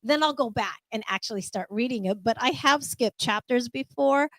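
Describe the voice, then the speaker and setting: A woman's voice: on the high side, normal speed, a bit bright, dry recording. A woman hosting a radio book chat who asks the questions and agrees with her guests.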